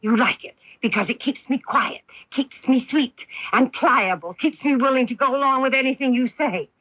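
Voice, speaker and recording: A woman playing a bitter, wounded wife and mother in a 1980s radio drama, emphatic and theatrical, with deliberate pauses. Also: high-pitched, a bit bright, no echo. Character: bitter, wounded wife and protective mother, faded society belle. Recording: dull lo-fi off-air radio recording.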